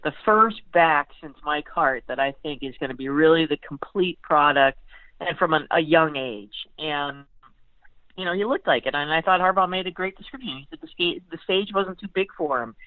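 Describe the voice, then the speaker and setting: A woman's voice: deep voice, moderate pace, no room sound. A woman sports beat writer giving conversational football analysis as an interview guest, often repeating words as she gathers her thought. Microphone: phone call. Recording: tinny phone line.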